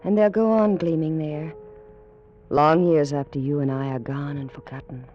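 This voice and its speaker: A woman's voice: sits low, fairly even intonation, moderate pace, highs cut off, soft background music, lightly acted. A woman acting a passionate young noblewoman of ancient Egypt in an old-time radio romance, with formal, theatrical delivery. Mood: tender, wistful.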